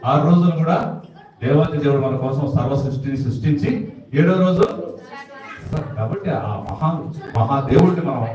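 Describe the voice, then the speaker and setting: A man's voice: fairly high pitch, normal speed, pauses often, dark tone. A man presenting to children as a ventriloquist, chatting informally and bantering with repeated questions. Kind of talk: informal stage talk to children. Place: church hall. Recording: echoey hall, distant microphone.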